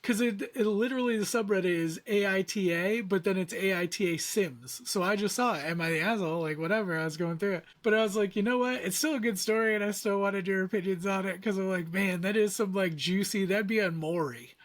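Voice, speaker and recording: A man hosting a casual podcast, animated as he retells posts he read in quoted asides. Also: sits high, fairly quick, few pauses. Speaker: podcast host.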